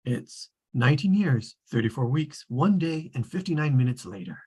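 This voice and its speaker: A performer reading the part of an omniscient, wry storybook narrator in a measured, formal way at a table read. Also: medium pitch, expressive, a little mellow, husky.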